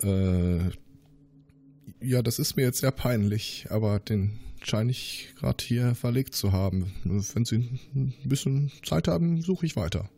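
Man playing old engineer, fussy, flustered, gravelly, husky, lively pitch. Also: a little slow, dry recording, full character voice. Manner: flustered, hesitant when searching. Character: fussy older male military engineer, pedantic about titles. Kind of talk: improvised tabletop role-play.

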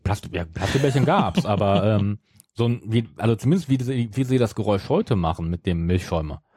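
A middle-aged man chats casually as a podcast host. His voice is low and slightly husky with very animated intonation, and he tends to explain his points carefully. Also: fast, no room sound. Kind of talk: casual chat about an audio drama series.

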